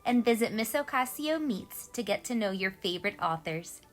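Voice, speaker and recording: Animated woman reading to young children. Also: medium pitch, moderate pace, faint music behind. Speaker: host of a children's read-aloud show. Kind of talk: expressive children's story read-aloud.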